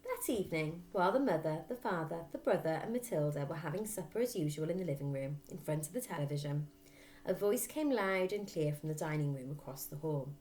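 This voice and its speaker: Expressive woman reading a storybook. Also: low-pitched, a little fast. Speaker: teacher reading a story aloud.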